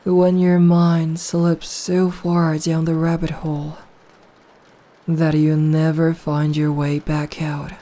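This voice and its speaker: Woman acting a slow, dark-voiced seductive vampire woman. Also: deep voice, monotone. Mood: possessive, menacing. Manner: slow, hushed, deliberate, hypnotic. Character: seductive, possessive, dominant female vampire, predatory and controlling. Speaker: ASMR roleplay voice actor. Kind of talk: slow, intimate ASMR roleplay.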